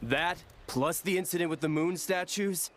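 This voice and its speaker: An actor playing a plain-spoken, concerned travelling companion in a video game. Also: male voice, fairly high pitch, moderate pace, a bit bright, natural voice.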